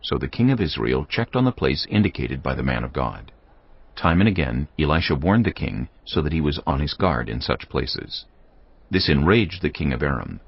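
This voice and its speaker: A man reading scripted Bible narration in a grave, measured storytelling manner. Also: rumbling low, sing-song, slightly rough, lo-fi sound.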